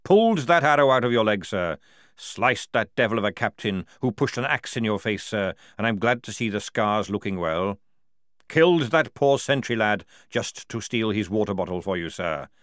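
A man voicing a fierce, loyal old male army sergeant who barks his words in a forceful parade-ground manner. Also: lowish voice, lively intonation, moderate pace, no room sound, clean studio sound. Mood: roaring, defiant.

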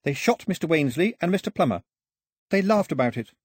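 A male narrator plays a young woman in a storybook adventure. The voice is lifted high and husky-soft, urgent and articulate. She pleads and bargains defiantly with sweeping intonation. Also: animated, fairly quick, dry recording, studio quality.

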